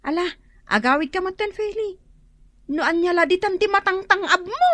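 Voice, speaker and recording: High-voiced sensible young woman friend. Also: moderate pace, pushed and bright, no echo. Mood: reproving. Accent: Ilocano. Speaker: radio drama actor. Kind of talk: Ilocano radio drama acting.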